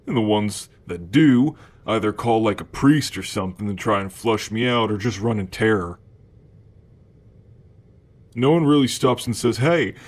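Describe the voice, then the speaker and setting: Man playing a slow-talking, gluttonous playful demon. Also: medium pitch, a little mellow. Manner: casual slangy talk with frequent chuckles. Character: gluttonous male demon, playful, childlike, grumpy, always hungry. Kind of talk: ASMR roleplay addressed to the listener.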